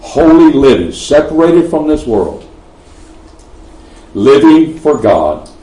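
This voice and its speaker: A man's voice: medium pitch, mellow. A man with a Texan accent preaching a sermon, swelling emphatically on key phrases and often restarting his sentences. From Texas. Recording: roomy echo, mic at a distance.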